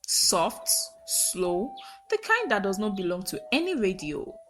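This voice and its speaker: A woman with a Nigerian accent narrating a dramatic folktale in short, deliberate storybook phrases with pauses. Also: mid-range voice, sing-song, a bit bright.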